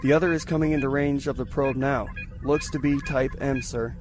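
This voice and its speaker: A man's voice: medium pitch, steady tone, fairly quick, over music, natural voice. A man acting as an eager, scholarly starship science lieutenant in a science fiction audio drama, relishing his explanations. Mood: intrigued, relishing.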